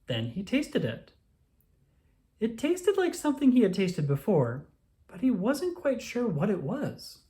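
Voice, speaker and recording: Animated children's storybook narrator. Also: medium pitch, a little slow.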